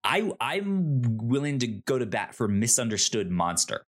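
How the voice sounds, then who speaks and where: A man co-hosting a podcast in casual chat, halting and repeating words as he thinks. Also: mid-range voice, very animated. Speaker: podcast co-host. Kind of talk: casual chat reviewing a TV show.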